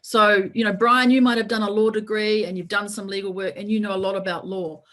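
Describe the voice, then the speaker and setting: A woman's voice: medium pitch, little pitch movement, fairly quick, a bit bright. Chatty encouraging New woman. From New Zealand. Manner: encouraging, talkative, leans on "right?". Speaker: lawyer and coach. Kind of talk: casual webinar talk.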